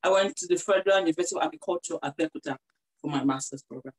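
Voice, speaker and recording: A young Nigerian woman guest in a casual interview, cheerful and quick to laugh. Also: deep voice, rough recording.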